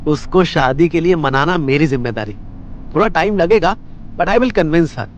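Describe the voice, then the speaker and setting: A man's voice: on the high side, animated, normal speed, lo-fi sound, a little hiss or hum, lightly acted. A man acting an ardent, persuasive lover in a romantic audio story. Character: ardent, pleading male lover, restless and persuasive.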